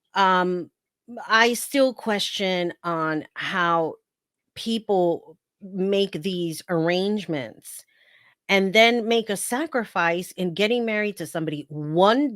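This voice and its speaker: A woman with a heavy New York accent chats about reality TV in a sarcastic, playful way. Her voice sits low. The recording is dry and close. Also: no echo. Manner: sarcastic, playful, laughs often. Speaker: reality TV commentary host. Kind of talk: casual chatty commentary.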